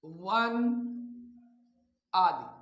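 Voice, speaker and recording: A man's voice: high-pitched, animated, drawn-out words, a bit bright. A man teaching a grammar lesson in a didactic, repetitive way, addressing his listeners as friends. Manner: didactic, repeating key terms and addressing viewers as friends. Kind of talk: classroom-style grammar lesson. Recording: echoey room sound.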